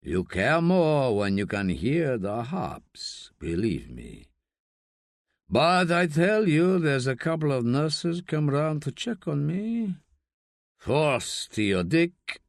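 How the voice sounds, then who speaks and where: A man voicing a volatile, secretive older father, talking slowly and deliberately. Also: fairly high pitch, wildly swooping pitch, no room sound, studio quality, heavily acted. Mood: gruff, mocking.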